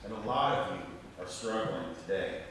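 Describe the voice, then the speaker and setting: A middle-aged man, a pastor, preaching earnestly and deliberately from a church lectern. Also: deep voice, animated. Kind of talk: sermon. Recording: echoey church, distant mic, faint noise.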